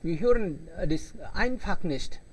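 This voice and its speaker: A man hosting an online conversation club over a video call, talking in simple, halting sentences with fillers and welcoming each participant. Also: high voice, lively intonation, rough recording. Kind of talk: casual group conversation in learner German.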